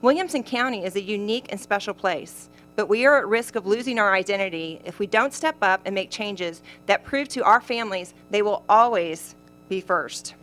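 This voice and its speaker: A woman with a Tennessee accent, a county commission candidate giving a prepared campaign statement in an earnest, measured way. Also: mid-range voice, fairly bright tone, soft background music. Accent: Southern US, Tennessee. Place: county government meeting room.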